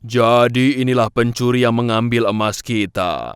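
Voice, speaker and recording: A man playing a commanding, sly bandit chief in a children's cartoon, speaking in a deliberate, declamatory way. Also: medium pitch, close to an everyday voice. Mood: menacing.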